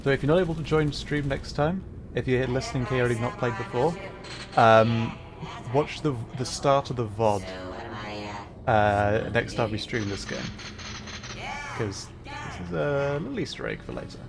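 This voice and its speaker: A man's voice: medium pitch, big pitch swings, normal speed, vocal fry, long pauses, crowd noise. A young man streaming a video game, chatting casually and rambling with plenty of hesitation. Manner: rambling, hesitant, many fillers. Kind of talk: casual gaming livestream chat.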